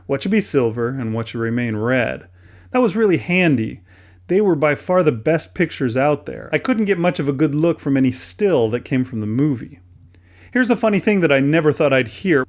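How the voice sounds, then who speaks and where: A middle-aged American man narrates a how-to hobby video from a script, conversationally and with light self-deprecation. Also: a little high. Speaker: toy hobbyist and video host.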